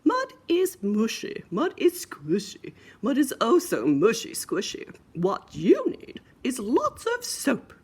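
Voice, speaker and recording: A woman's voice: a little high, huge pitch swings, slow, a little mellow, slightly rough, pitched above the performer's own voice, exaggerated voice. A woman voicing a fussy, scolding mother pig with a sing-song, exclamatory delivery for a storybook.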